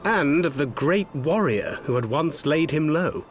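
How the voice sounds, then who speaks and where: An actor playing a roguish, witty adventurer in a fantasy game, with theatrical, self-mocking and refined phrasing. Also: male voice, fairly high pitch, expressive, a little slow, a little hiss or hum. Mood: wry, storytelling. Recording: music behind the voice.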